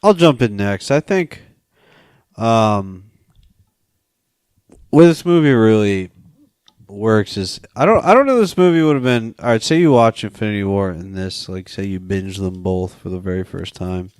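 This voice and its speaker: A man's voice: fairly low pitch, animated, a little slow, darkish tone, clear, resonant tone, no echo, studio quality. A man co-hosting a film podcast, giving his opinions in a wry, conversational way with casual swearing. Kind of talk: casual film review discussion.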